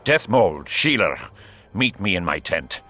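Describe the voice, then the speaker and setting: A man's voice: low-pitched, sing-song, a little slow, pushed and bright, slight background noise, exaggerated voice. An actor playing a brusque, imperious king in a fantasy video game, giving curt commands. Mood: commanding.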